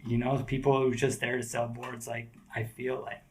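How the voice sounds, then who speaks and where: A man's voice: mid-range voice, fairly quick, noisy background. A man who is a guest skateboarder, talking casually and loosely on a podcast. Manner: relaxed, slangy, lots of "like" and "dude".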